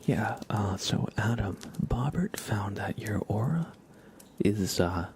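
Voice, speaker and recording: A man hosting an ASMR stream in a soft, breathy half-whisper, speaking gently and informally. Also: fairly low pitch, expressive, slow pace, slight background noise. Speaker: ASMR livestream host.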